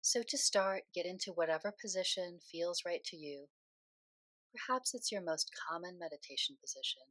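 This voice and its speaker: A woman leading a guided meditation in a soothing, unhurried way, speaking phrase by phrase with pauses. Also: on the low side, fairly bright tone, dry recording.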